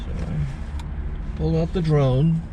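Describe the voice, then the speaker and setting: A man hosting his channel, casually walking through a gear setup with halting self-corrections. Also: on the high side, steady tone. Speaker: channel host, recording taper. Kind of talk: casual how-to demonstration. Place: inside a truck cab. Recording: faint car and outdoor noise.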